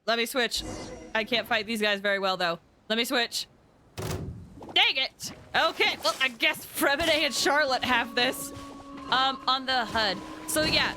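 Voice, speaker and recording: A woman streamer giving casual live commentary while she plays a game. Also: high-pitched. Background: video game music.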